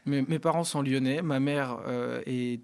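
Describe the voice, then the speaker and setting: A man from the Lyon area answers as an interview guest, in a conversational way. His voice has an average male pitch, and he often pauses as he looks for the next word. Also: fairly even intonation, a little mellow, clean tone. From Lyon area, France. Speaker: interview guest, parliamentary aide. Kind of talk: conversational personal account in an interview.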